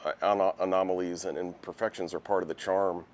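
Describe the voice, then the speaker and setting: A man's voice: low-pitched, flat delivery, fairly quick, a little hiss or hum. A middle-aged man with an American accent, chatting casually as an interviewee. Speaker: writer, interviewee. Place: music shop, indoors.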